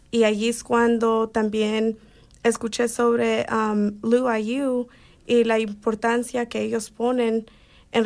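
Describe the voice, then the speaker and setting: Higher-pitched young woman student. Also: a little mellow. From Los Angeles, then Indiana. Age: young adult. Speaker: university student. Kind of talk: casual interview answers.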